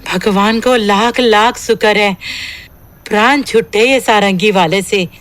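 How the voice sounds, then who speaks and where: A woman playing a terrified rural mother with a Rajasthani accent in a horror audio drama, speaking haltingly and fearfully. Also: mid-range voice, background noise, natural voice. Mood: anxious, scolding. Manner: halting, fearful, repeating words. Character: terrified rural mother guarding her child.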